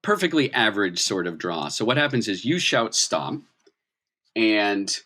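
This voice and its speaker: Male game master, bright and forceful tone, conversational tabletop storytelling with plenty of explanation. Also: fairly low pitch, very animated, a little slow. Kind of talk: improvised tabletop game narration.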